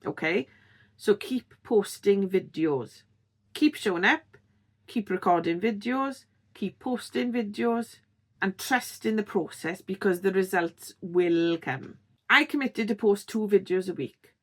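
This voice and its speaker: Woman, middle-aged, strong Welsh accent, mid-pitched voice, encouraging, conversational, channel host. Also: a little slow. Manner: encouraging, conversational, checks in with "okay?". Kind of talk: direct-to-camera tips video.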